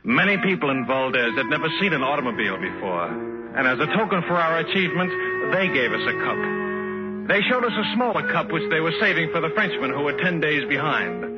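An actor playing a dryly matter-of-fact male race driver, clipped and deadpan, in 1950s radio drama style. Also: a little high, brisk, over loud music. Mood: deadpan narrating. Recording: dull old 1950s radio broadcast.

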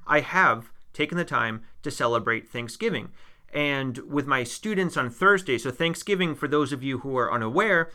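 A man's voice: medium pitch, sharp tone, smooth. A man from the United States, co-hosting a casual podcast and chatting in a rambling way with fillers and restarts. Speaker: podcast co-host, history teacher.

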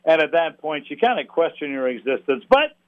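A middle-aged man, a sports announcer, talks as an interview guest in a halting, wry, conversational way. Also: on the high side, sing-song. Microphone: telephone. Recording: tinny phone line.

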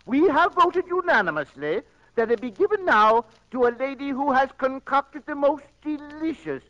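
An actor playing a pompous, gluttonous county fair judge in florid 1940s radio comedy style. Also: male voice, very high-pitched, expressive, normal speed, a bit bright. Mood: pompous, proclaiming. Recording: dull, noisy 1940s radio broadcast.

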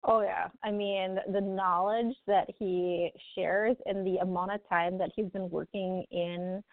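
A woman's voice: medium pitch, fairly even intonation, a little slow, no echo. A woman co-hosting a radio talk show, enthusiastic and agreeable in casual chat. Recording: tinny phone-line sound.

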